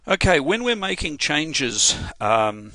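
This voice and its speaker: A man with an Australian accent talking through a software tutorial over his screen, in a casual, halting way with many fillers and restarts. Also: fairly high pitch, sing-song, no echo. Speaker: software trainer.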